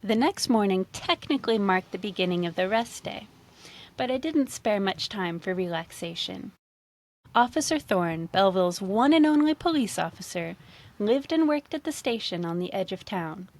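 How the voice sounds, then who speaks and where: A woman author reading her own first-person story as expressive scripted narration. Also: mid-range voice, normal speed.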